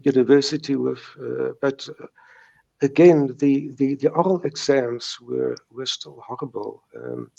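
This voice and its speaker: A man's voice: mid-range voice, gravelly, mellow, muffled recording. A middle-aged man with a South African Afrikaans accent, a native Afrikaans speaker, giving a personal account in an interview, stuttering and repeating words and sounds. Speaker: guest recounting recovery from stuttering.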